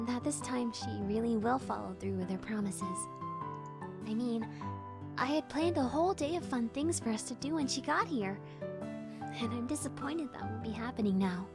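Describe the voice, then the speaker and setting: A woman's voice: on the high side, normal speed, vocal fry, long pauses, music in the background. A woman voicing a sporty, teasing and openhearted young woman in a visual novel game. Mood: resigned, disappointed.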